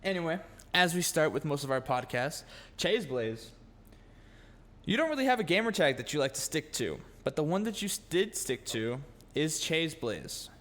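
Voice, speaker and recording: A man's voice: a little high, sing-song, normal speed, a bit bright. A man hosting a casual podcast, joking and teasing his guest and swearing freely. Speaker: podcast host. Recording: long room reverb, faint noise.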